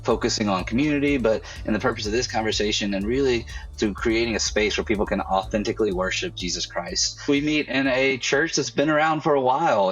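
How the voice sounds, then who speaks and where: A man's voice: fairly low pitch, a bit bright, breathy edge, highs cut off. A man in his thirties giving an explanatory testimonial into a close mic at home. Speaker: church creative director, interviewee. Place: bedroom at home. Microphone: close dynamic mic on boom arm. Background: background music.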